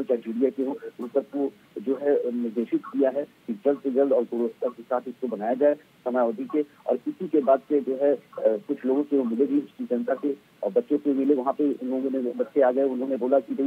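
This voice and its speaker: Middle-aged male field reporter, dull lo-fi live link, halting unscripted delivery. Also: medium pitch, breathy edge. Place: outdoors outside a government office. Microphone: handheld microphone. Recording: muffled, narrow-band live outdoor link.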